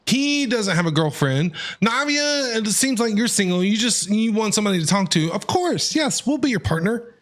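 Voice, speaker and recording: A man's voice: high-pitched, very animated, moderate pace, hardly any pauses, fairly bright tone. A man streaming a game, giving casual, slangy, teasing reaction commentary. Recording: game music behind the voice.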